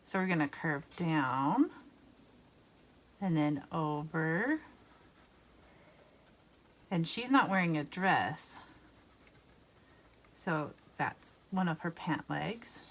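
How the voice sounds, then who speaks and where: A woman's voice: sits low, lively intonation, very slow, long pauses, slight background noise. A woman in her thirties leading a guided drawing lesson, giving patient step-by-step instructions. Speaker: art teacher. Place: home art studio.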